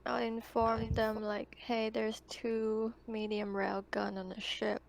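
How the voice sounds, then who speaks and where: A woman's voice: fairly high pitch, flat delivery, slow, gravelly, slight background noise. A woman talking casually as a player in a tabletop roleplaying game.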